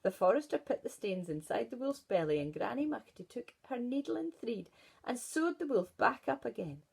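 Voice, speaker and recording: A woman teacher with a Scottish accent reading a storybook aloud to pupils and asking gentle questions. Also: fairly high pitch, very animated, moderate pace, creaky, husky. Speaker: primary school teacher.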